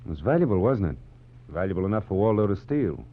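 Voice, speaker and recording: A man's voice: on the low side, sing-song, fast. An actor playing a tough, wisecracking American private detective in a 1940s radio drama, clipped and wry as he acts and narrates. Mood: wry, probing. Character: tough wisecracking private detective, sardonic and cool under threat. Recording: muffled vintage 1940s radio recording, music underneath.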